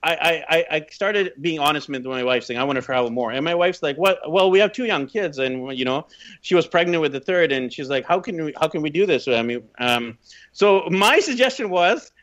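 A man's voice: a little high, expressive, a little fast, a bit bright. A Canadian man in his thirties telling personal stories as a podcast guest, chatty and emphatic in conversation. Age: 30s. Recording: slightly dull, lo-fi audio.

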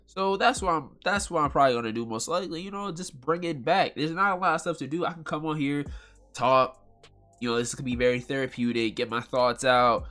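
Bright nasal man talking loosely. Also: mid-range voice. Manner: loose, rambling, slangy and chatty. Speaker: podcast host. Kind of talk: casual solo podcast talk. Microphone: podcast mic at home.

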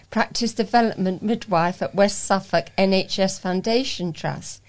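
A woman's voice: mid-range voice, a little mellow. A woman reading local news aloud as a volunteer reader, carefully, with occasional stumbles over words. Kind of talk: read-aloud local news for a talking newspaper.

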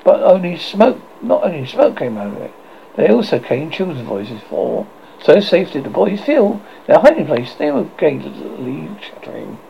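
A man's voice: on the high side, huge pitch swings, normal speed, creaky. A man reading a children's storybook aloud, stumbling and restarting often. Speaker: audiobook reader. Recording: dull lo-fi recording with faint noise.

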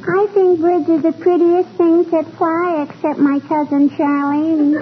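A woman's voice: extremely high pitch, little pitch movement, unhurried. A woman playing an eager, chatty little neighbor girl who wheedles and repeats questions in an old radio comedy. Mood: chirpy, scatterbrained. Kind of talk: 1940s radio comedy acting. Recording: muffled, faintly noisy old radio recording.

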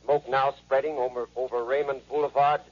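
An emergency radio announcer, as a man plays him in a 1930s radio drama, giving clipped, urgent warnings. His voice is husky and slightly rough. It comes through a dull, lo-fi old recording. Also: medium pitch, little pitch movement, brisk, natural voice. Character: male city radio announcer broadcasting a warning.

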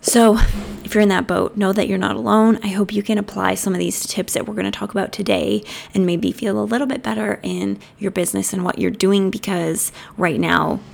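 A woman with a slightly hoarse voice that is starting to go, talking casually and chattily to listeners as a solo podcast host. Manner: chatty, informal, apologetic asides to listeners. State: losing her voice. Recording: clear background noise, passing truck rumble. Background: noisy truck outside.

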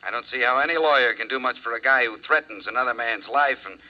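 A man's voice: mid-range voice, quick pace, lightly acted. Man acting a plain-spoken radio police sergeant, muffled. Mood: assured. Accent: American. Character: matter-of-fact, assured male police sergeant. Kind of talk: 1950s radio drama acting. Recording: dull 1950s radio recording.

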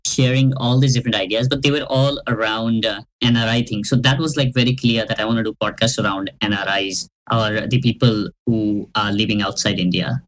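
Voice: fairly even intonation, brisk, hardly any pauses, poor audio. A podcast host asking long, winding interview questions padded with "uh" and "you know". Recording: faint hiss and low rumble.

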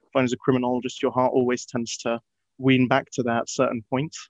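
A young man from France and the UK, a PhD candidate guest talking casually and thoughtfully with many pauses and fillers. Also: medium pitch, flat delivery, no echo. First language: English. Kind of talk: casual interview talk. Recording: dull lo-fi audio.

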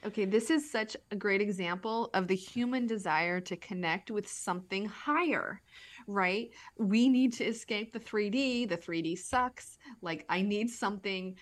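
A woman talking in a spiritual podcast chat, energetic and blunt, swearing casually as she explains her ideas. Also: mid-range voice, unhurried.

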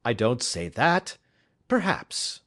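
A reserved gentleman detective speaks in measured, precise sentences, voiced by a man reading the story aloud. His voice sits a little above the narrator's and rises and falls with lively intonation. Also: mid-range voice. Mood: grave. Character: calm, reserved gentleman detective, middle-aged.

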